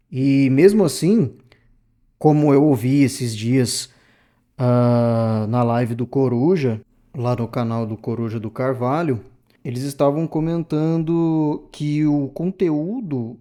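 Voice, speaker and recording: A man's voice: mid-range voice, slow, warm tone. A man in his thirties from Brazil, talking in a casual, reflective monologue to his viewers, rambling and correcting himself as he goes. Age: 30s. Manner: rambling, self-correcting, addresses viewers directly. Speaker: opinion channel host.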